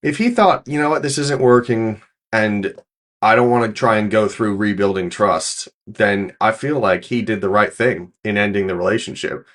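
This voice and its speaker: A man's voice: fairly low pitch, normal speed, fairly bright tone. Man giving casual, opinionated commentary. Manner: opinionated, explanatory, talks in long runs. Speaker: reaction commentator.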